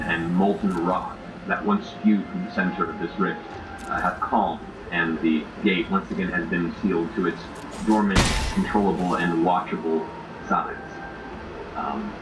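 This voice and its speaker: A man narrating a tabletop game as game master, in a formal, florid storytelling way with pauses. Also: sits low, fairly even intonation. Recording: roomy distant mic, clearly noisy background.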